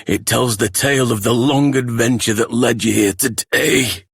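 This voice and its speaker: An actor playing a dying, regretful adventurer father in a video game, speaking weakly in a husky, breathy voice with halting, tender solemnity. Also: mid-range voice, no room sound, studio quality. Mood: admiring, solemn. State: weak, dying. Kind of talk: video game dramatic voice acting.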